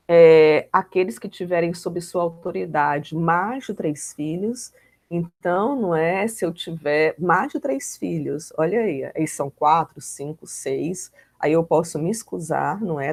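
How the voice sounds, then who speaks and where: A woman's voice: sits low, a little slow, darkish tone, dry recording. A Brazilian woman giving an informal law lecture, explaining in a didactic, conversational way and often checking in with short tag questions.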